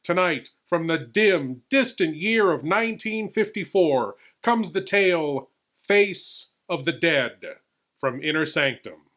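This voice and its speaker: A man's voice: on the high side, expressive, takes it slowly, fairly bright tone. A man playing a ghoulish lord who hosts a horror show, speaking in a grandiose, theatrical scripted style. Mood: grandly spooky.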